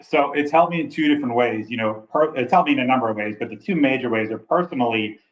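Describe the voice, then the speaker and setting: A man in his forties with a big voice, telling his story with energetic enthusiasm in a casual interview. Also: medium pitch, fast, lo-fi sound. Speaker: travel nurse recruiter.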